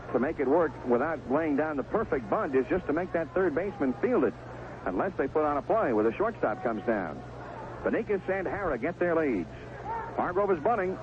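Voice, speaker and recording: A male baseball play-by-play announcer with a fairly high, very lively voice. He calls the action in clipped phrases and fills in the scene conversationally. The sound is a muffled 1970s radio broadcast. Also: noisy background. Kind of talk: live baseball play-by-play commentary. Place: stadium broadcast booth. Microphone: broadcast microphone with headset earphone monitoring.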